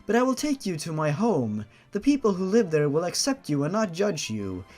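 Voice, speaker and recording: Story lead, read by a man: cocky killer, coaxing and offhand, higher register, music underneath. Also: sing-song, normal speed, a little mellow. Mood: soft, coaxing. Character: bored, cocky killer narrator who turns sweetly motherly.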